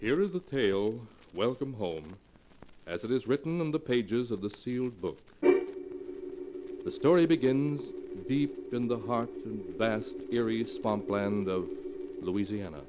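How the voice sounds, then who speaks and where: A man narrating a 1940s radio horror show as an ominous storyteller, with theatrical, drawn-out delivery. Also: on the low side, animated. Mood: slow, eerie. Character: ominous male storyteller of dark, terrible tales. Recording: noisy 1940s radio recording.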